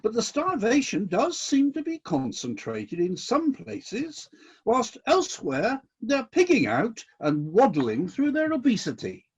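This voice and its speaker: An actor playing an exacting, fair-minded celestial boss in a satirical radio play, speaking in a measured, questioning and politely insistent way. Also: mid-range voice, huge pitch swings, breathy edge, dull audio, heavily acted. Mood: politely critical.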